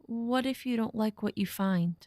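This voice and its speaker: A woman's voice: a little high, a little slow, a little mellow, no room sound, studio quality, natural voice. A woman playing a wistful, pleading young woman. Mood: sad, worried. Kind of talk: audio drama acting.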